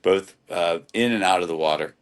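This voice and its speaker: A man's voice: sits low, normal speed. A man from Hawaii, a guest on a podcast, who tells swimming anecdotes in a casual, storytelling way and repeats words as he starts. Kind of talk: casual interview chat.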